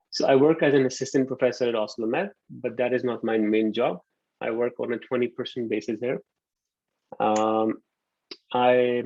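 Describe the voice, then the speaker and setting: A man from Pakistan in his thirties giving a relaxed online university lecture, often pausing with ums. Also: mid-range voice, no room sound. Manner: relaxed, hesitant with frequent ums. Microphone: computer mic on a video call. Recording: dull lo-fi video call audio.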